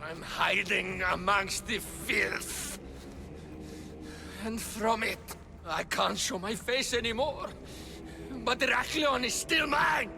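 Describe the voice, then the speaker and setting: An actor playing a deranged man hiding in filth, with a gravelly, unhinged way of shouting in a game cutscene. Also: high voice, slow pace, over music, heavily acted. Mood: ranting. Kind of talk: video game cutscene acting.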